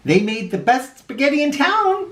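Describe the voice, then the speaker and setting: A man voicing an eager, helpful young boy in a children's storybook, earnest and excited. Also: very high voice, moderate pace, far from the mic, pitched above the performer's own voice, heavily acted. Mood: helpful suggesting. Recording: roomy echo.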